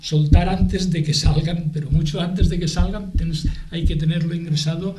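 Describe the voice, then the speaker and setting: A middle-aged man with an accent from Spain, explaining things in a TV debate in a halting way, repeating and rephrasing as he goes. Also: a little high, fairly even intonation, a little mellow, a little hiss or hum. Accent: Spanish from Spain. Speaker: guest, aid association spokesman. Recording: echoey room.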